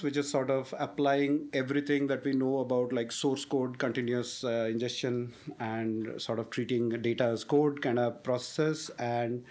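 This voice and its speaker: A young man presenting a technical talk on a conference stage, relaxed and joking, with many fillers. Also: medium pitch. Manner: casual, self-deprecating humor with frequent fillers. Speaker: conference speaker.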